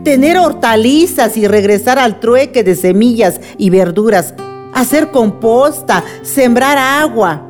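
An actress playing a resolute village woman speaking firmly and deliberately to an assembly in a radio drama. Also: on the high side, expressive, a little mellow. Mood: firm, solemn. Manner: firm, deliberate oratory. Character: Doña Juana, resolute village woman, guardian of land. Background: music.

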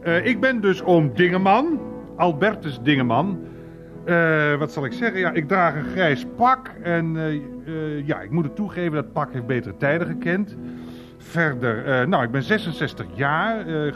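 A man's voice: on the high side, animated, normal speed, natural voice. An actor playing a folksy pensioned uncle in his sixties in a radio play, rambling and hesitant. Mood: rambling, affable. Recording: dull lo-fi radio recording, music behind.

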